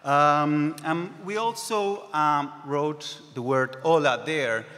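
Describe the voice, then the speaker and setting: A man's voice: on the high side, slow, bright, forceful tone. A man in his thirties, a non-native English speaker from South America, giving a conference talk as a creative director, hesitant and full of ums. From Brazil or Argentina. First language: Spanish or Portuguese. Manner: hesitant, many ums and restarts. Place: stage in a large hall. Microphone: stand mic. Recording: reverberant hall.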